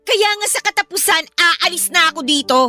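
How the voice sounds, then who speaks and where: A very high, sharp young female voice with a Filipino accent , played by an actress. She is a sulky, stubborn student answering back in radio-drama style. Faint music sits underneath. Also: a little fast, exaggerated voice. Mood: exasperated. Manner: guarded, stubborn talking back.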